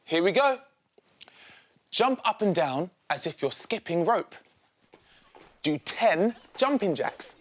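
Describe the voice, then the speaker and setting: A man's voice: high-pitched, big pitch swings, takes it slowly, long pauses, heavily acted. A man voicing a cheerful, encouraging woman dance teacher in a children's storybook, calling out instructions with enthusiasm. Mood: upbeat, instructing.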